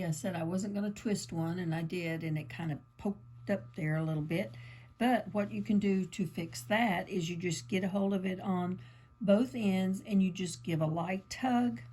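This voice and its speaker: A woman, a little croaky from allergies, chatting her way through a casual yarn craft tutorial with lots of ums and asides. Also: fairly low pitch, darkish tone. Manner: chatty, meandering, lots of fillers. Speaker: crafting channel host.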